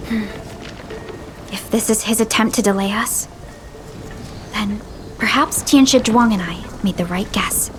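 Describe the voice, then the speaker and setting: A woman playing a talkative, expressive young female investigator in a video game, with music behind her. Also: mid-range voice, measured pace, long pauses, a little hiss or hum, natural voice. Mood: thoughtful. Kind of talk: animated video game voice acting. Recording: loud music behind the dialogue.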